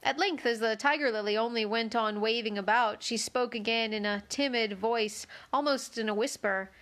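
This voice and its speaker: High-voiced woman reading a children's story. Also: moderate pace, fairly bright tone. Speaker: storybook reader. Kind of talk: read-aloud narration of a children's book.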